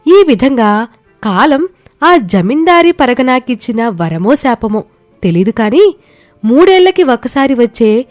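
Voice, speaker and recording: A woman's voice: fairly high pitch, big pitch swings, unhurried, a little mellow, dry recording. A woman reading a scripted story as a narrator. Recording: music bed behind the voice.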